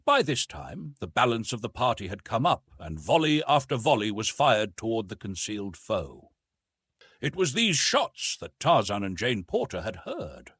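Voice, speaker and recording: A man narrating a classic adventure novel in an expressive, theatrical storytelling manner. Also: lowish voice, moderate pace, breathy edge, dry recording. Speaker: audiobook narrator.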